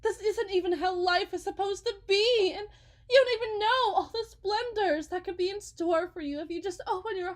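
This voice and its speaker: An unsettling female faith healer, acted by a woman in an audio roleplay, with a very high voice and a slow delivery. She preaches sweetly and theatrically, pleading as a self-styled prophet who is utterly fervent. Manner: theatrical, pleading, sing-song preaching with drawn-out words and sudden hushes. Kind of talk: dramatic ASMR roleplay monologue.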